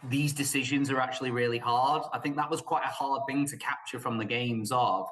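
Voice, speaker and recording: Bright-voiced man in an echoey room. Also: medium pitch, steady tone, fairly quick. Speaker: podcast panelist. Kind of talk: casual chat. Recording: roomy, echoey sound.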